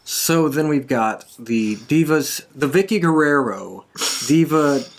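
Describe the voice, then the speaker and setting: A man's voice: a little high, animated, takes it slowly, heavy background noise. A man hosting a wrestling predictions podcast in a casual panel discussion.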